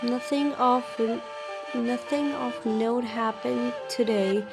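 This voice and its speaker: Casting: young female adventurer, slightly high, calm and understated, game dialogue under loud music. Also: takes it slowly. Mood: reading, neutral. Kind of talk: scripted video game dialogue.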